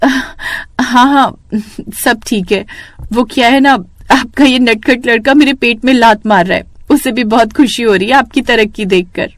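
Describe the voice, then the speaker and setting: A woman's voice: fairly high pitch, a little slow, no echo, close to an everyday voice. A woman voicing a loving, playful young wife in a cartoon moral story, speaking in a sweet, affectionate, coaxing way. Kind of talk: acted dialogue in a Hindi moral cartoon story.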